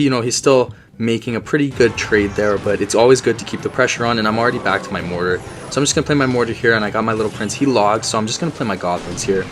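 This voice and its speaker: A slightly hoarse man with a cold, giving chatty gameplay commentary and tips with jokey asides. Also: fairly low pitch, background noise. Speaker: gaming video creator.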